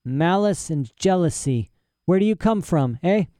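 A man's voice: sits high, expressive, unhurried, warm tone, no echo, clean studio sound, voice pushed up. A man voicing a mad old professor in a storybook reading, cranky, boastful and pompous, querulously tossing in "eh". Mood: indignant. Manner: agitated, querulous, pompous, punctuating talk with "eh".

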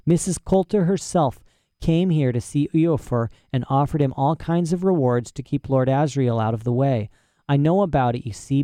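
A male narrator puts on a cranky old professor in his cell, boastful and aggrieved, forever tacking on a nagging "eh?". The voice sits a little high, with a dry, close sound. It plays as an audiobook character part. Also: dark tone, dry recording. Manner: boastful, ranting, rhetorical "eh?" asides. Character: old imprisoned male professor, indignant and rambling.

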